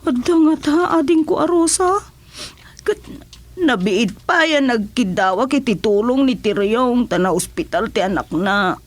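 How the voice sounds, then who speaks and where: A woman's voice: a little high, lively intonation. A woman with an Ilocano accent playing a sickly, plaintive older villager in a radio drama. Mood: tearful gratitude. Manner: drawn-out, plaintive.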